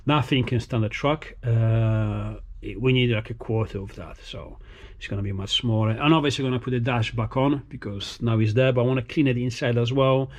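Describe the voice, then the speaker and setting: A man who grew up in Italy, speaking English as a second language, rambling casually with many fillers and restarts while showing his race car. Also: mid-range voice. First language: Italian.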